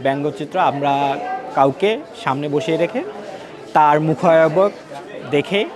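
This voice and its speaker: A man's voice: a little high, measured pace. A male cartoonist with a Bangladeshi Bengali accent explaining his work in an interview. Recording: busy indoor public crowd noise.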